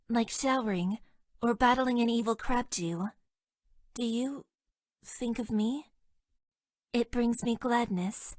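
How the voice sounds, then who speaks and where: A woman voicing a detached, self-deprecating young female mecha pilot in a video game, with deadpan, stilted and hesitant delivery. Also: fairly high pitch, no echo, natural voice. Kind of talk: video game character voice lines.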